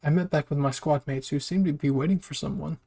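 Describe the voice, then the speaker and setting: Male story narrator, slightly high voice, reading scripted fan fiction. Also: steady tone, fairly quick, gravelly, mellow, slightly rough.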